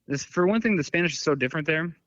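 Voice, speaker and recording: A man's voice: a little high, lively intonation, quick pace, a bit bright, no echo. Man rambling in dull, dry lo-fi audio. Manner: rambling, filler-heavy storytelling. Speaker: podcast guest. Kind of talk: casual chat.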